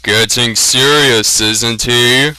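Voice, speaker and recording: A man voicing a gruff, good-humoured, plain-spoken blacksmith in a fantasy audiobook. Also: medium pitch, steady tone, takes it slowly, bright, forceful tone, dry recording. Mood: teasing. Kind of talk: dramatic fantasy audiobook reading.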